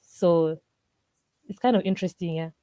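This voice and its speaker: Zimbabwean woman chatting as a podcast guest, soft mellow tone, dry audio. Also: lowish voice, normal speed, dry recording. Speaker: interview guest, craft brewer. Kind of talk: casual interview answers.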